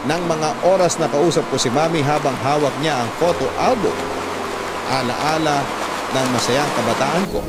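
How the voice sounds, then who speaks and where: A man's voice: fairly high pitch, very noisy. A man giving earnest, dramatic scripted narration of a personal story. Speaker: narrator reading a letter sender's love story. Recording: loud music under the voice. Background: loud music.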